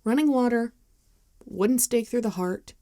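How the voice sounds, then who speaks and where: Caring female vampire friend, played by a woman, ASMR roleplay: mellow, hesitant, very close and dry sound. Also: on the high side, no room sound, lightly acted. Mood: gentle, casual. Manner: hesitant, gentle, halting with soft sighs. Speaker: ASMR voice actor. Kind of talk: ASMR roleplay acting, intimate and conversational.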